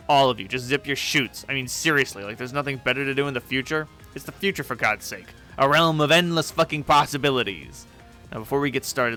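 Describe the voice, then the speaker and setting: A grumpy teenage troll leader, bossy and ranting, swearing in exasperation at everyone. A man acts him out in a bright, tense voice, with faint music in the background. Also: medium pitch.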